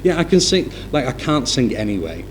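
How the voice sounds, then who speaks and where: Relaxed Mancunian man teacher. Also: medium pitch, brisk, slight background noise, poor audio. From Manchester, England. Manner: relaxed, self-deprecating humor. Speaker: English teacher. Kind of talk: casual live chat.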